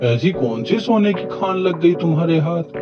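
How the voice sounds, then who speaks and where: A greedy, possessive rich farmer, villain of a cartoon tale, acted by a man. His voice is a touch high, in dull sound over faint music. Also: lively intonation, moderate pace, lightly acted. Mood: nosy. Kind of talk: cartoon story dialogue.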